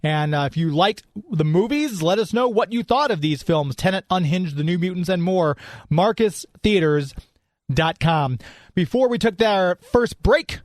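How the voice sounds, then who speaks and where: Higher-pitched man hosting radio. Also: no echo, clean studio sound. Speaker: radio show host. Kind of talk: interviewing and reading sponsor spots.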